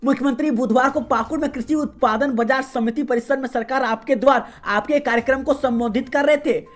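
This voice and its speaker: A woman reading the day's regional news as a host, in a steady, formal newsreader delivery. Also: a little high. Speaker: news reader. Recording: faint music behind voice.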